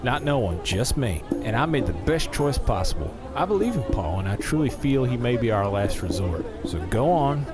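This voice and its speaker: A man's voice: medium pitch, very animated, moderate pace, hardly any pauses, close to an everyday voice. An actor playing a folksy, genial carnival owner in an audio drama, talking in a salesman's patter. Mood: firm, indignant. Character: folksy, smooth-talking carnival owner, a much-married man. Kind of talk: scripted audio drama acting. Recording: music behind the voice.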